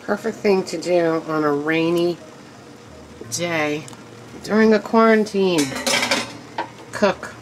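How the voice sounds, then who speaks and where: A woman's voice: lowish voice, slow pace, pauses often, noisy background. A woman hosting a casual home cooking demonstration in her kitchen, chatty and enthusiastic, talking to her viewers as she works at the stove. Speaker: home cooking show host.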